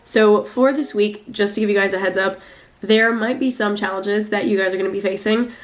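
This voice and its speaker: A young woman with a stuffy, nasal voice from a recent flu. She talks through a tarot reading in a loose, chatty way and trails off into fillers. Her pitch sits in a middling range. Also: fairly even intonation, fairly quick. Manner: chatty, rambling, many fillers. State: congested from the flu. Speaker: tarot reader. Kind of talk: casual weekly tarot reading.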